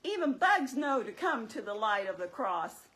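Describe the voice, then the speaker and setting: Woman as sensible, teasing church-show puppet: high pitched, swooping intonation, explains and chides. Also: sing-song, moderate pace, fairly bright tone. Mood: explaining. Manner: explanatory, chiding, wry. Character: Mary, sensible, teasing, scolding female puppet.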